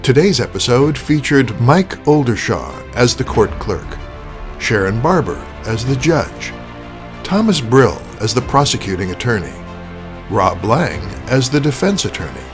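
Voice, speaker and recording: A man hosting a radio theater show, giving scripted, deliberate, rhetorical narration. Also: medium pitch, big pitch swings, over music.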